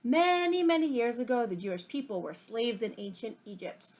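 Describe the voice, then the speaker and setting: A woman voicing a patient, helpful puppet friend in a children's storybook, explaining things earnestly and brightly. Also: fairly high pitch, very animated, normal speed.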